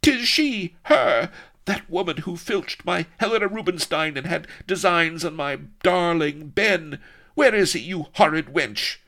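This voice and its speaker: A man's voice: on the high side, animated, unhurried, vocal fry, sharp tone, voice pushed up, heavily acted. A man voicing a proud, dramatic young noblewoman in an adventure story, with haughty, frantic, defiant declaiming. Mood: indignant, frantic. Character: indomitable, proud, dramatic young noblewoman heroine, an admiral's daughter.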